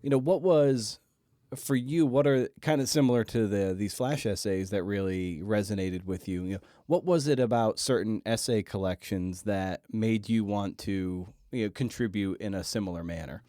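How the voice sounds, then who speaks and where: A man's voice: lowish voice, normal speed, warm tone, dry recording, studio quality. A man hosting a podcast, asking conversational, loosely phrased questions that feel their way toward the point. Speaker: podcast host, interviewer. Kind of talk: conversational interviewing.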